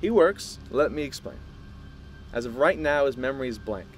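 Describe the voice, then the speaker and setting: Audio drama scientist, male actor: man, mid-pitched, grave and measured, proud of his work, faint music underneath. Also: lively intonation, lightly acted. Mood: proud, explaining.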